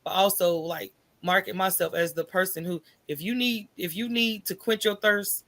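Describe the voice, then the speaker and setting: A woman's voice: lowish voice, steady tone, normal speed, a bit bright. An American woman speaking as a podcast guest in a casual, colloquial way, often checking in with the listener as she explains. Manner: informal, colloquial, checks in with "right?" and "you know". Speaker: podcast guest, coffee business owner. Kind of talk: casual conversational interview answers.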